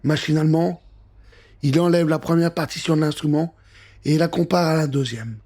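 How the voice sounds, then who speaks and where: A man narrating a scripted children's adventure story in an expressive, suspenseful way. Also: on the high side, moderate pace.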